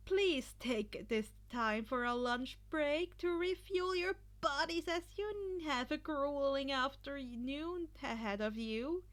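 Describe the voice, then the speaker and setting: Woman playing a commanding female event announcer, high and measured. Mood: proud, official. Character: commanding female festival referee announcing results.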